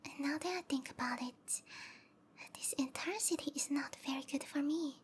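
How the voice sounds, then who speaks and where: A woman voicing a sweet, obsessive snake-girl lover in a breathy, cooing whisper for an intimate ASMR roleplay. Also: high voice, moderate pace, slight background noise, close to an everyday voice. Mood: sweet, doting. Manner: cooing, tender, possessive.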